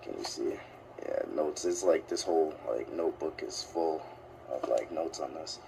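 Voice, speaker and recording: A man's voice: a bass voice, normal speed, creaky, background noise. A man with a sick-sounding voice talks casually and hesitantly, filling gaps with "um" and "like". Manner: hesitant, lots of "um" and "like". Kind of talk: casual video commentary.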